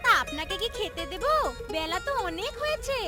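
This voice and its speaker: High, lilting young daughter-in-law. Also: female voice, big pitch swings, brisk, over music. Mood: dutiful. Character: young village daughter-in-law, dutiful but pertly answering back. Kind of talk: Bengali cartoon fairy tale dubbing.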